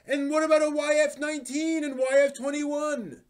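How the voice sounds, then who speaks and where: A man's voice: very high-pitched, little pitch movement, normal speed, pitched above the performer's own voice. A man playing an indignant, aggrieved anime fan in a theatrical dramatic reading, drawing out words for emphasis. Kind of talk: mock dramatic reading of a forum post.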